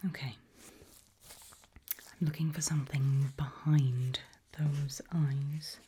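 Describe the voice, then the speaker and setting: A woman softly whispering a medical exam roleplay in ASMR style, giving gentle, deliberate instructions with pauses. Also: deep voice, steady tone, slight background noise. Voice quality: soft breathy whisper. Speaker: ASMR performer playing an examiner.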